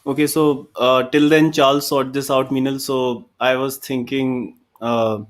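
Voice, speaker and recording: Man with Indian English accent, judge on an online poetry show, halting casual speech over a video call. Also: medium pitch, level tone. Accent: Indian English, Hindi-influenced. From Chambal region, India. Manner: hesitant chat with fillers and restarts. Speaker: judge and poet. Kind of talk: live online poetry event.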